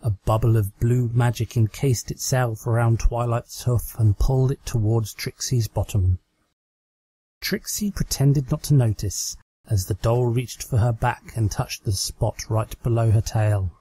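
A man's voice: medium pitch, fairly even intonation, measured pace, warm tone, breathy edge, no room sound. A man reading scripted fan fiction aloud as an amateur narrator. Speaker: amateur story reader.

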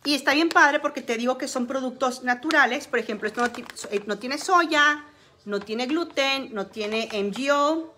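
Bright chatty woman vlogging. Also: sits high, moderate pace, slight background noise. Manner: chatty, frequent fillers, shows products. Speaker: beauty and wellness vlogger. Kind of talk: casual product talk to camera. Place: small room at home, indoors. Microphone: phone camera at arm's length.

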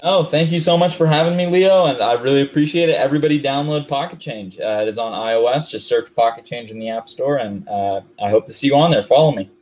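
A man's voice: medium pitch, fast talker, few pauses, darkish tone. A man from Hawaii chatting casually as a podcast guest, upbeat and agreeable, over muffled remote-call audio. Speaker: podcast guest, app company CEO. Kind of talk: casual interview chat.

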